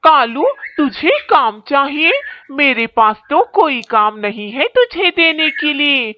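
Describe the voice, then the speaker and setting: A woman's voice: high-pitched, expressive, takes it slowly. A woman voicing a plain-spoken female kite bird seller in a children's cartoon, on a muffled, noisy recording. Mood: matter-of-fact. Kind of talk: children's cartoon voice acting in Hindi.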